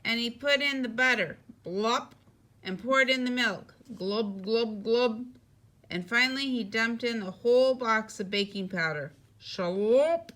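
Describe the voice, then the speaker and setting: A woman narrating a children's picture book expressively, with deliberate phrasing and emphatic sound words. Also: a little high, bright, forceful tone. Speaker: teacher reading a picture book.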